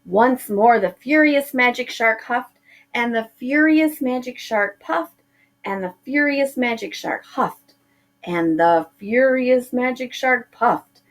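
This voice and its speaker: Middle-aged female teacher, slow expressive read-aloud voice, slightly high. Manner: expressive, drawing out words for effect. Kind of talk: reading a picture book aloud to pupils.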